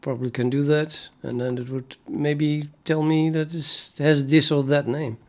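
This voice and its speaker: A man's voice: a little high, level tone, unhurried, darkish tone. A man from the Netherlands with a Dutch accent, a native Dutch speaker, chatting casually on a coding stream and thinking aloud with many fillers and restarts. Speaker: programmer streaming his coding. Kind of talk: casual live-stream chat.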